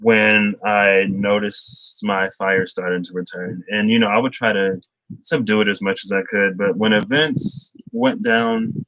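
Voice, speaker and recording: A man's voice: lowish voice, a little slow, fairly bright tone, no room sound, poor audio. A young man chatting loosely through a tarot reading, rambling and laughing often. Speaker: tarot reader. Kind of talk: casual livestream tarot reading.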